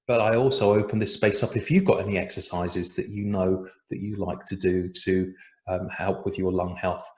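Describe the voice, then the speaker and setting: A man in his forties with an English accent, chatting gently as he leads an online breathwork workshop. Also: on the low side, reverberant. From England. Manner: chatty, gentle, with many fillers. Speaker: breathwork facilitator. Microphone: video call.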